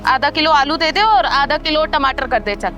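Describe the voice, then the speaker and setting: A woman's voice: high-pitched, lively intonation, moderate pace, sharp tone, dull audio, faint music behind. A young woman playing an arrogant, argumentative customer in a short moral drama. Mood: haughty. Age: young adult. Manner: haughty, argumentative. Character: arrogant, haggling, rude young female customer.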